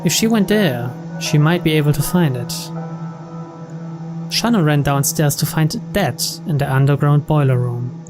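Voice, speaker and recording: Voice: mid-range voice, moderate pace, music in the background. A narrator reading out the story text of a visual novel as scripted narration.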